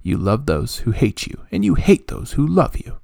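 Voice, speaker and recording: A man's voice: mid-range voice, very animated, moderate pace, gravelly, a little mellow, dry recording, studio quality, voice pushed up, heavily acted. A man voicing a stern army general, scolding and emphatic, in a storybook reading. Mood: angry rebuke. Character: stern, indignant army general rebuking his king.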